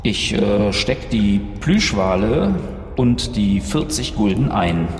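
Animated man role-playing in an echoey space. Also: fairly low pitch, takes it slowly. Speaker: role-playing game player. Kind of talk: improvised in-character tabletop play. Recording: echoey, reverberant, faint background noise.

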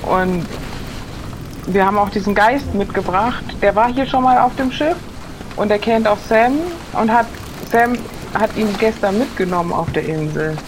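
Female player, mid-pitched, improvised role-play delivery, clear bed of rain-like noise behind her. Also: moderate pace.

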